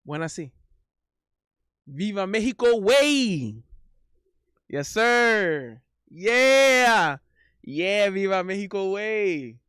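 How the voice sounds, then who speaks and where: A man's voice: high-pitched, big pitch swings, extremely slow, pauses often, a bit bright, clear, resonant tone, no room sound, clean studio sound. A man from Miami with Haitian family, native English speaker, hosting a casual livestream and chatting with hesitant ums, switching between Spanish and English. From born in Miami, Haitian family. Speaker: livestream host and singer.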